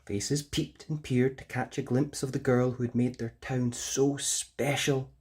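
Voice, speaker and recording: A woman narrating a children's fairytale as an expressive storyteller. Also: a bass voice, a little slow, breathy edge.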